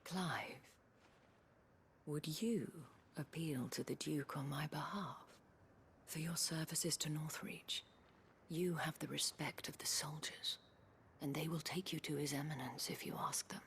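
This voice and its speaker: An actress playing a worldly, anxious dame in a video game, measured, eloquent and weary, with a breathy, hushed delivery. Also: female voice, low voice, a little hiss or hum. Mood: pleading. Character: worldly, anxious dame looking after her wards. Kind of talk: scripted video game drama.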